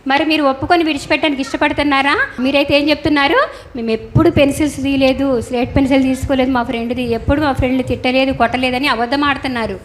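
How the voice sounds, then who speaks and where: A woman's voice: high voice, steady tone, rapid-fire. A woman Sunday school teacher giving children a moral lesson, instructing and asking questions as she talks with puppets. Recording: clear background noise, ambient sound.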